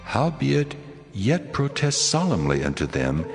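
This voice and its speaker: A man's voice: medium pitch, wildly swooping pitch, measured pace, gravelly, soft background music, full character voice. A man playing God, solemn and commanding, in a dramatized scripture reading.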